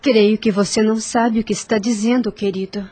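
An actress with a Brazilian accent playing a gentle, sensible woman who calms others in a radio drama. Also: a little high, a little fast, soft background music, lightly acted. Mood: gentle, consoling. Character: gentle, sensible woman calming her frightened companions.

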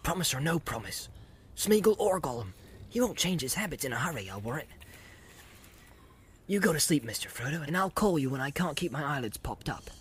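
A man's voice: a little high, very animated, fairly quick, slight background noise. A man voicing a loyal, plain-spoken male servant who talks in a blunt, rustic way and stays suspicious. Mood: wary.

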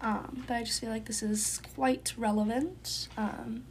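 A woman's voice: on the high side, fairly even intonation, unhurried, darkish tone, slight background noise. A young woman hosting her own podcast in casual, rambling chat, with a congested, phlegmy-sounding voice. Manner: rambling, filler-heavy, chatty. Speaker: podcast host.

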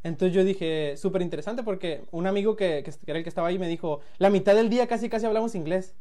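A man's voice: sits high, quick pace, mellow. A man in his late twenties from Sinaloa with a Mexican accent, a guest telling his story in a loose, laughing way, restarting phrases and acting out quotes. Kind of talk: casual storytelling chat.

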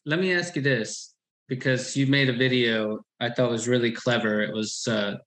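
Excitable man in a roomy echo. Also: medium pitch, moderate pace. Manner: animated, rambling, repeats himself. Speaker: host. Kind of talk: casual interview chat.

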